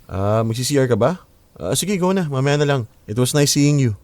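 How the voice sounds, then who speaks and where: A man's voice: medium pitch, lively intonation, normal speed, darkish tone, no room sound, close to an everyday voice. An actor playing a curious, pushy young man in a radio drama, with an inquisitive, pressing way of talking. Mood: eager. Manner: inquisitive, pressing, casual Taglish.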